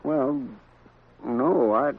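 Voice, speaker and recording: An actor playing a flustered, insistent deputy sidekick with a folksy, hesitant manner in a radio western. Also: male voice, mid-range voice, very slow, breathy edge. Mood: defensive. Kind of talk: 1950s radio western acting. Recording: tinny, noisy 1950s radio recording.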